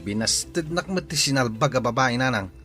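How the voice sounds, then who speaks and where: A man's voice: medium pitch, animated, normal speed, fairly bright tone, faint music behind, lightly acted. A man with an Ilocano accent playing a sullen, irritable rejected suitor in an audio drama. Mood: aggrieved.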